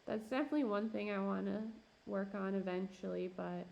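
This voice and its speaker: A woman's voice: medium pitch, brisk, vocal fry, darkish tone, dull audio. A young woman pottery streamer chatting casually with her chat while she works, with lots of fillers and laughs, and her voice starting to go. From Minneapolis–Saint Paul area. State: losing her voice. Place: home pottery studio.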